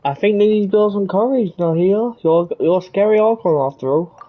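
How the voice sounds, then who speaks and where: A man's voice: high voice, fairly quick, narrow, tinny audio. A man giving casual, hesitant, rambling commentary while playing a game, often mumbling. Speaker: game streamer.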